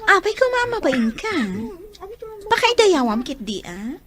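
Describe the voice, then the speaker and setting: A woman's voice: very high voice, big pitch swings, a little slow, a bit bright, exaggerated voice. A woman playing a catty, scheming young student daughter in a Filipino radio drama. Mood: sly, sweet. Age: young adult.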